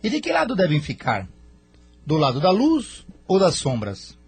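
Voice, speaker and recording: A man's voice: high-pitched, huge pitch swings, normal speed, husky, faint music behind, full character voice. An actor playing a venerable, watchful councillor in an audio drama, grave and questioning in his delivery.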